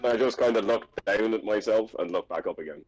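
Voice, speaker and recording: A man's voice: on the low side, fast, darkish tone. A man playing a tabletop roleplaying game, casually describing his character's actions.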